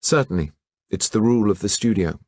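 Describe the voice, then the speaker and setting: Male narrator voicing composed woman teacher, firm, higher. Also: expressive, brisk, darkish tone, no echo, studio quality. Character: composed woman painter in charge.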